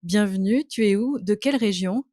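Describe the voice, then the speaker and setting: A woman hosts an interview, pausing often on fillers as she speaks with empathy. Her voice sits at a middle pitch. The sound is dry and close, with no room echo. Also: mellow. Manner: hesitant, many fillers, empathetic. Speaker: podcast host. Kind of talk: interview via video call. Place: hot home office.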